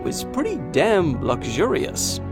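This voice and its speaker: This is a male vlog narrator with an informal, conversational delivery. His pitch is a touch high and moves around a lot, giving the script an animated shape. Music plays clearly behind him. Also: slow pace. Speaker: host and narrator of a travel documentary vlog. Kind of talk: scripted narration mixed with on-location interviews.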